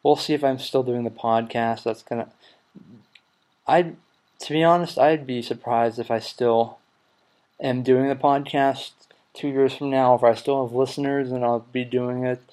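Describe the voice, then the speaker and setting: Young male podcast host, twenties, low-energy rambler, mid-pitched voice. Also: fairly even intonation, normal speed, darkish tone. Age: 20s. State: recovering from a cold. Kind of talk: casual solo podcast chat.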